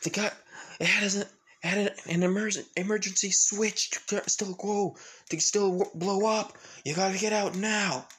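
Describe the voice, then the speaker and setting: A man voicing an urgent police officer in a story, with a hurried, stumbling delivery. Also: mid-range voice, level tone, lightly acted. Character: urgent male police officer, alarmed and commanding.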